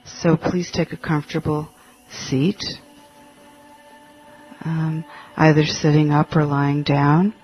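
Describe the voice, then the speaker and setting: Slow, even-toned man guiding meditation. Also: a little high. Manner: halting, gentle, with long pauses between short phrases. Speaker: meditation guide. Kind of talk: guided meditation, spoken instructions. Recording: dull lo-fi audio with faint music.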